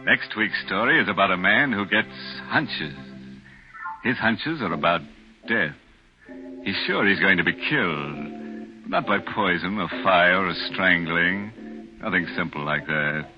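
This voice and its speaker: An actor playing a ghoulish, mischievous host of a 1940s radio horror show, with a wry, macabre, theatrical manner. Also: male voice, low voice, unhurried. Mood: sly, ghoulish. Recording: muffled 1940s radio broadcast with music.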